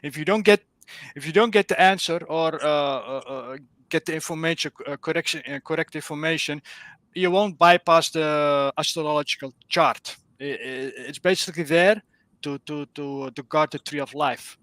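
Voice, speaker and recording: Dutch-accented male caller, fairly high and bright, dry close sound, earnest and groping for words. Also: moderate pace, no echo. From Netherlands. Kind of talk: casual explanation on a live stream.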